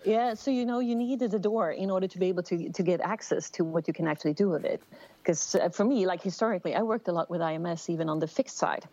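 A woman's voice: fairly low pitch, fast talker, mellow, dull audio. A middle-aged woman from Sweden with a Swedish accent, giving a thoughtful interview answer with many fillers and restarts. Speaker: telecom executive guest. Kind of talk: conversational interview.

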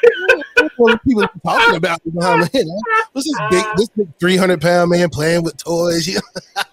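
A man with a slightly raised voice co-hosts a talk show with easy, chatty enthusiasm. He jokes and reads comments out loud. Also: high voice, big pitch swings, moderate pace, a crowd in the background. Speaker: co-host. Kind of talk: casual talk show banter.

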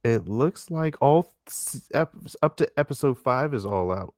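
A man's voice: medium pitch, animated, measured pace, dry recording, studio quality. A man co-hosting a podcast with casual chat and commentary. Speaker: podcast host.

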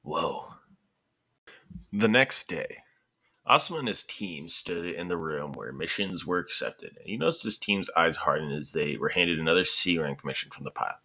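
A man's voice: deep voice, animated, normal speed, bright, forceful tone. A man narrating a story in an amateur way, sometimes stumbling and correcting himself. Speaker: narrator of a fan fiction podcast.

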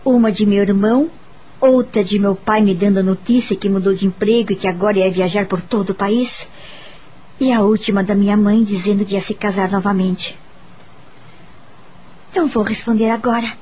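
A woman's voice: medium pitch, fairly even intonation, moderate pace, dark tone, a little hiss or hum, close to an everyday voice, low-quality recording. An actress with a Brazilian accent playing a polite, timid young woman teacher who narrates her own story in a radio soap opera. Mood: reflective narration.

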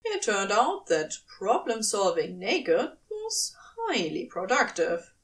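A woman's voice: medium pitch, wildly swooping pitch, slow, far from the mic. A woman reading scripted fiction aloud as the narrator. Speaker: podfic narrator.

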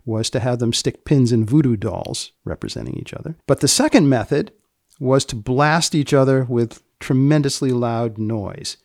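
A male podcast host with a gravelly, creaky voice, recorded very dry and close. He explains things in deliberate, broken-up phrases, keeping a straight face through wry asides. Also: mid-range voice, dry recording. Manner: deadpan, deliberate, phrase-by-phrase delivery.

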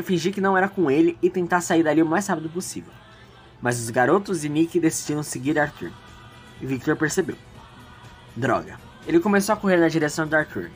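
A woman with a Brazilian accent narrating an audio drama in a storytelling style. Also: low voice. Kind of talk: storytelling narration, loosely scripted.

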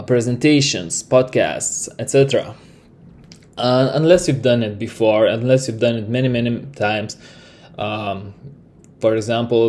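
A man's voice: medium pitch, a little mellow. Lithuanian-accented man, rambling podcast talk. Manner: rambling, many fillers and restarts. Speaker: podcast host. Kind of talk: solo podcast monologue.

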